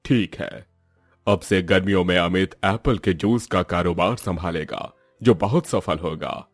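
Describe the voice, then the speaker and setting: A man voices a magical house from an animated fable. It is calm and benevolent, with a lowered voice and faint music behind. It grants wishes generously. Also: a little slow, dry recording. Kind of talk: cartoon moral story dialogue.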